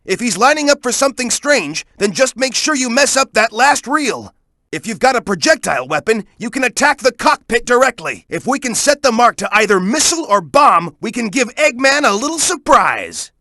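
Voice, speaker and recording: A young, hot-headed echidna fighter from a video game. The voice sits high for a male and swings widely, with a bright, forceful edge. He talks in an urgent, punchy, gung-ho way, recorded very dry. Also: big pitch swings, moderate pace, no room sound, full character voice. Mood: urgent instructing. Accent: American. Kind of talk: animated video game voice acting.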